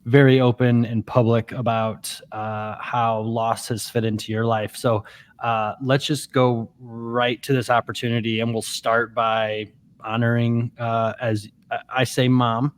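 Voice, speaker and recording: Flat-voiced man hosting an interview. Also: mid-range voice, a little slow, clear, resonant tone. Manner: gentle, hesitant, repeats words. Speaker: interview host. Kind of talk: interview conversation.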